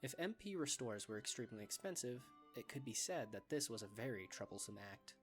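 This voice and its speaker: A man narrates a scripted story in a medium-pitched voice. His lines are delivered as third-person storytelling, with light music underneath. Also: big pitch swings, fairly quick.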